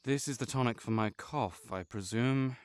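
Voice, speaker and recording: A man playing a sickly, proud and melancholy nobleman in an intimate audio roleplay, speaking formally with measured, old-fashioned phrasing. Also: medium pitch, clean tone, dry recording, studio quality, lightly acted. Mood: dry, mildly testy.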